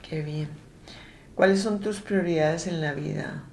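Woman interviewer, low and unhurried, gentle and hesitant, faint low rumble behind. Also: vocal fry. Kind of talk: oral history interview.

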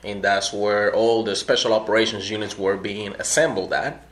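A middle-aged man from Puerto Rico, an army veteran telling his story in an oral history interview, speaking in a measured way with many pauses. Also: fairly low pitch, steady tone, fairly bright tone.

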